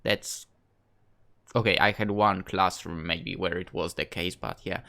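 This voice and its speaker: A man's voice: sits low, fairly even intonation, measured pace, creaky, bright, forceful tone. A young man from Poland with a Polish accent, playfully chatting and reading game dialogue aloud in a casual let's play. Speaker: gaming YouTuber.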